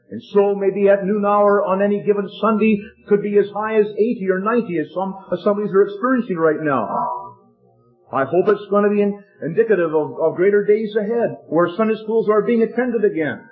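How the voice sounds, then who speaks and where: A man preaching a sermon in an earnest, expository manner, mixing scripture teaching with a personal anecdote. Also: high-pitched. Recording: tinny phone-like sound, faint music.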